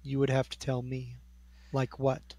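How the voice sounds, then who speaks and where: A game master narrating scenes and outcomes in a tabletop role-playing game, describing rooms in an unhurried, descriptive way. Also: medium pitch, completely flat delivery, gravelly, dark tone, dry recording.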